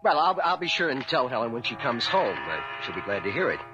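A man's voice: mid-range voice, sing-song, quick pace, lo-fi sound, natural voice. A man playing a nervous, evasive husband in a radio drama, stammering and falsely friendly. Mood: uneasy. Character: shifty, nervous husband hiding something, evasive adult man. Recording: radio drama with music underneath.

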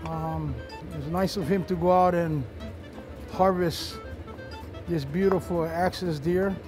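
Man, middle-aged, high voice, casual chef host, encouraging instructions, kitchen, faint music and sizzling. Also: fairly even intonation, unhurried. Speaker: chef hosting a cooking show. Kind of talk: casual cooking demonstration. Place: studio show kitchen. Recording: music behind, sizzling pan.

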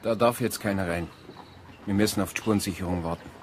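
An actor playing a calm, businesslike policeman in a radio drama, polite and procedural, with a Bavarian accent. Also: deep voice, fast, lightly acted. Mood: calm, official. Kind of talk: naturalistic radio drama acting. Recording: noisy barn and farmyard scene.

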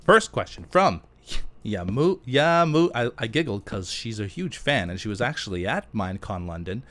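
A man's voice: fairly low pitch, very animated, normal speed, fairly bright tone. A man giving casual gameplay commentary, thinking aloud as he chats and answers questions. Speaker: gaming YouTuber.

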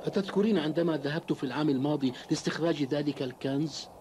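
Man acting a high-pitched, scheming idle peasant husband. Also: rapid-fire, noisy background, lightly acted. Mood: boastful. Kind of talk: cartoon dub acting.

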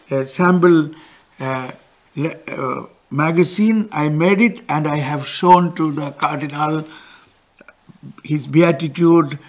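Older man, high voice, slow deliberate catechism teacher, studio lapel mic. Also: fairly bright tone. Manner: gestures broadly while explaining, pauses for interpreter. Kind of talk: catechism lesson with interpreter. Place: studio with backdrop.

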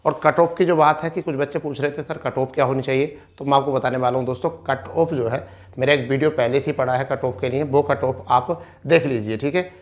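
A man's voice: medium pitch, steady tone, a little fast, muffled recording. A man, explaining informally like a coaching teacher, repeating points and checking in often. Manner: repetitive, explanatory, with frequent check-in tags. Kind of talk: informal instructional explanation at a board. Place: indoor studio in front of a digital board. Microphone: clip-on lapel mic.

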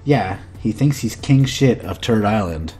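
A man's voice: lowish voice, expressive, a little slow, warm tone, soft background music. A man in his forties teaching English with matter-of-fact definitions and scripted dialogue.

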